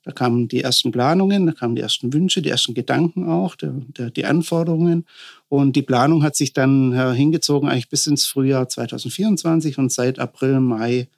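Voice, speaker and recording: A man, an architect, explaining at length and thoughtfully in a podcast interview, often pausing on fillers. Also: medium pitch, vocal fry, a little mellow.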